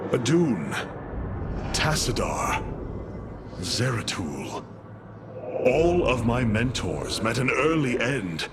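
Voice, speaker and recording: An actor playing a noble, solemn alien warrior leader in a video game, speaking in a formal, measured way. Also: male voice, mid-range voice, very animated. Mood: solemn, wry. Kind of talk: video game cutscene acting. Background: music.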